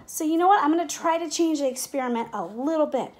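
A middle-aged woman teacher speaking in simple, clear phrases to young children, as in a read-aloud or classroom demonstration. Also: high voice, quick pace, darkish tone. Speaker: teacher making videos for pupils. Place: indoors at home, living room and kitchen. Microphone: device mic at about arm's length.

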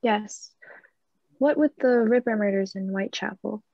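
A woman playing a self-assured young married gentleman with a husky, creaky voice in a radio play. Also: mid-range voice, moderate pace, dry recording, close to an everyday voice. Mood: inquisitive. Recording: dry, slightly dull sound.

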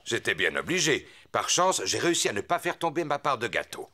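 A man's voice: mid-range voice, big pitch swings, brisk, noisy background, natural voice. A man voicing a jovial, reassuring big daddy pig in a cartoon dub, given to jolly exclamations. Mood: pleased.

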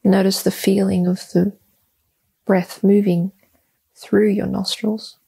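Slow, husky woman guiding meditation. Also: medium pitch, poor audio. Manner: calm, soothing, deliberate with long pauses. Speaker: meditation guide. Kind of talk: guided meditation, soft slow instruction.

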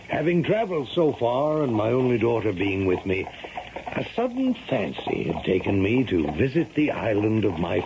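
An actor playing a formal, easily exasperated American gentleman and father from the Victorian era in a radio drama. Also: on the low side. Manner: formal, theatrical. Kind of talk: 1970s radio drama acting. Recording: noisy, dull vintage radio broadcast.

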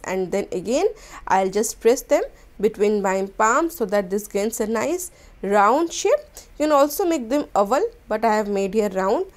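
A woman, hosting a cooking channel and explaining a recipe step by step in a gentle, instructional voice-over. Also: on the high side. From India.

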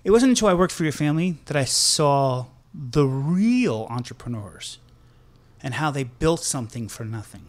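A man's voice: mid-range voice, sing-song, moderate pace. A man co-hosting a podcast, a former chef, talking enthusiastically in a casual chat. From United States, Connecticut. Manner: enthusiastic, self-described people person.

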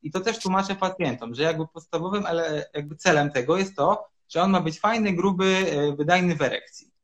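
A man in his thirties answers interview questions as a doctor over a video call. His voice sits a little high for a man. He explains step by step and fills pauses with hesitation sounds. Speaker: urologist guest. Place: home room, indoors.

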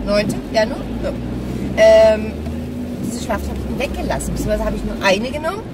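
Woman in her 30s, bit hoarse, chatting in the car, loud road noise, mic kinda far. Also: on the high side. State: slightly hoarse. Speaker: vlogger. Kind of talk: casual chat while driving. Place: inside a small car while driving. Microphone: camera or phone mounted on dashboard. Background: car engine and traffic noise.

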